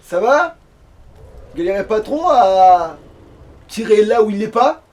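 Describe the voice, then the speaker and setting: A man's voice: high voice, lively intonation, slow pace, darkish tone. A man chatting casually as he plays a game, grumbling and swearing. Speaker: let's play gamer. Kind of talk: casual gaming chat. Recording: clearly noisy home recording.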